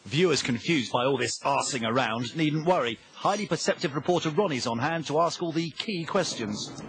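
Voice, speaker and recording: A man's voice: a little high, lively intonation, moderate pace, a bit bright, slight background noise, rough recording. A British man delivering scripted documentary narration in a wry, tongue-in-cheek way.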